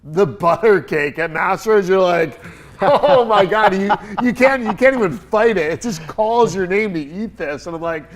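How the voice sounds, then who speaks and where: A man hosting a casual studio interview, sounding congested and telling stories with lively energy and laughter. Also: high voice, moderate pace. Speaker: podcast host.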